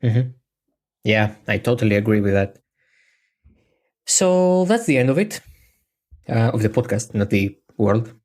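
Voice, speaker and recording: A man's voice: on the low side, sing-song, a little slow, long pauses, a little mellow. Greek man hosting a podcast. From Greece. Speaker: podcast host. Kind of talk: casual podcast chat.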